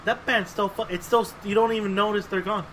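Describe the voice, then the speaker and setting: Male podcast host, high-pitched, casual and sweary, emphatic repeats, faint noise behind him. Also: steady tone, brisk, fairly bright tone. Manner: profane, emphatic, repeats phrases. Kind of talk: casual chat.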